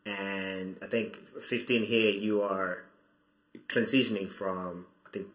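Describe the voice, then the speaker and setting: Young guy from zimbabwe, lowish voice, bright and a bit tense, stumbles and restarts a lot, echoey room. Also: fairly even intonation, slow. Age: 20s. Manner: thoughtful, hesitant with many restarts. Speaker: guest. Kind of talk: interview, telling his life story.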